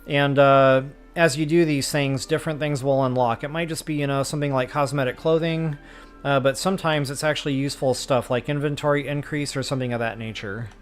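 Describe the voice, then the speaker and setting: A man's voice: mid-range voice, steady tone, fairly quick, smooth. A man giving a casual, folksy gameplay walkthrough, explaining things informally and thinking aloud as he plays. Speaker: game walkthrough host. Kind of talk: casual gameplay commentary, explaining as they play. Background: game music behind the voice.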